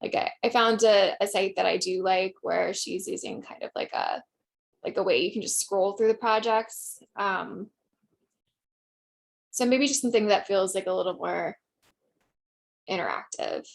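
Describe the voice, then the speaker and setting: Female student, mid-pitched with noticeable vocal fry, casual and tentative. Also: moderate pace, pauses often, slight background noise. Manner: tentative, heavy use of "like". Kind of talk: casual class discussion.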